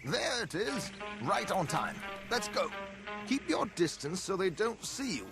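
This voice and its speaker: Slick old west con man, theatrical n high voiced, very lively, loud music under him. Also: unhurried, exaggerated voice. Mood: brisk, instructing. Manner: theatrical, pompous, dryly teasing, florid wording. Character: flamboyant, smooth-talking male con artist in the Old West. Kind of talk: game cutscene dialogue.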